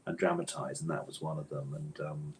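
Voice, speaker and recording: A man's voice: a bass voice, completely flat delivery, brisk, darkish tone, slight background noise. A man answering interview questions conversationally as a guest author, with a thoughtful, hesitant manner and bookish phrasing.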